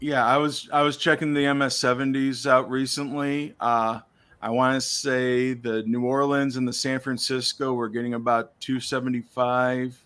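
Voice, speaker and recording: A man in a casual chat about coins, losing his voice, explaining in a steady, plain way. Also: mid-range voice, moderate pace, clean tone.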